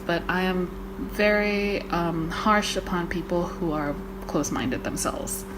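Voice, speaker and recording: A woman's voice: on the low side, a little slow, background noise. A young woman from Malaysia, co-hosting a podcast in casual chat, swearing freely and using lots of fillers. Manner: chatty, swearing, many fillers. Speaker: podcast co-host.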